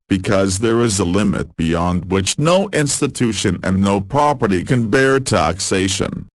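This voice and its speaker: A man reading quotations as scripted narration, in a measured and formal delivery. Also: deep voice, no room sound.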